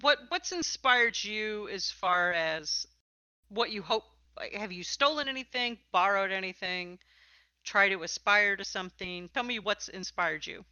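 A woman's voice: medium pitch, a little slow, bright, forceful tone, dry recording, muffled recording. A woman hosting a casual live podcast interview, chatting and asking questions of a guest.

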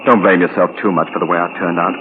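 Man acting a fast-talking young army captain, noisy recording. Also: low voice, fairly even intonation, narrow, tinny audio, close to an everyday voice. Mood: rueful. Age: young adult. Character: young army captain, glib, self-blaming wayward son. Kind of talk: 1940s radio drama acting. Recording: noisy old 1940s radio broadcast.

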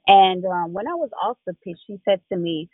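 A woman from Jamaica with a Jamaican accent, telling stories as a guest in a casual interview, with quick laughs. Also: on the low side, no room sound. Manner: animated storyteller, quick laughs. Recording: tinny phone line.

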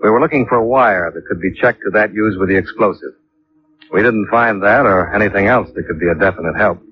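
An actor playing a cool, persistent investigator in 1950s radio detective drama, terse, matter-of-fact and probing. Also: male voice, lowish voice, brisk, close to an everyday voice. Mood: matter-of-fact narration. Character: cool, persistent male investigator narrating his case. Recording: dull old radio recording with music.

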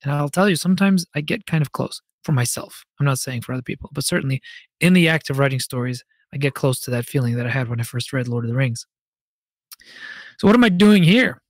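A man's voice: medium pitch, animated, fast talker, dry recording. A man hosting a podcast, delivering a prepared monologue with conversational asides and speaking expressively, like a storyteller, straight to listeners. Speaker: podcast host and fantasy author.

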